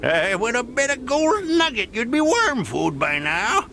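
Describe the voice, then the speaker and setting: A man playing a cranky, possessive old gold prospector in a cartoon game, with a gravelly Old West drawl. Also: very high-pitched, sing-song, unhurried, loud music behind the voice, full character voice. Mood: grudging. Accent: American Old West. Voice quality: creaky, gravelly. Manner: grumpy, drawling. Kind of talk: animated video game voice acting.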